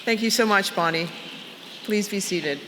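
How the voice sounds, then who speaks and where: A middle-aged woman, a university chancellor giving a measured formal address at an outdoor convocation through a podium microphone. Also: medium pitch, noisy background. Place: outdoor university plaza with a large crowd. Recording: long outdoor PA reverb, faint ambience.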